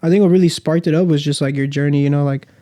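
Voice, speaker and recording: A man's voice: a little high, fairly even intonation, very fast, warm tone, no room sound. A man in his twenties co-hosting a podcast, chatting in an easygoing, slangy way with many fillers. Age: 20s. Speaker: podcast co-host. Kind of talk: casual chat. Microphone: studio podcast mic.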